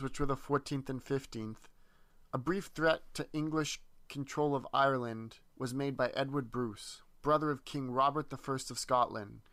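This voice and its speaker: A man presenting a history lesson, reading a dense text aloud in a measured way with small slips and restarts. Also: medium pitch, a bit bright, no room sound.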